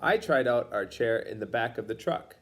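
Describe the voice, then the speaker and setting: A man reading a children's picture book aloud as a storyteller, pausing to explain things to young listeners. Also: medium pitch, lively intonation. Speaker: storybook reader.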